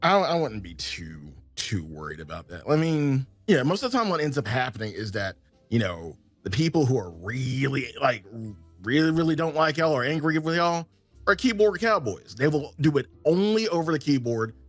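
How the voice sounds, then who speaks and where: Laid-back man with a lively voice. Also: medium pitch, normal speed, dry recording, soft background music. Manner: laid-back, reassuring, swears casually. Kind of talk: casual podcast chat.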